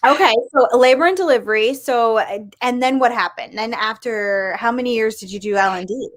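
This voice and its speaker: A woman's voice: fairly high pitch, fairly quick. A woman hosting a casual, chatty interview, reacting informally and putting in questions and short interjections. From born in Colombia. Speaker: interview host, nurse.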